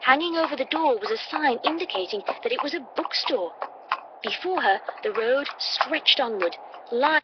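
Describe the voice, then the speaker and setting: A woman's voice: sits high, animated, moderate pace. A woman reading a scripted story as an audiobook narrator. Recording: dull, noisy playback through computer.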